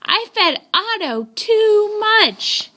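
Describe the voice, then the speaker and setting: An anxious young storybook boy voiced by a woman, high and animated. He speaks urgently, always pleading for help. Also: drawn-out words, full character voice.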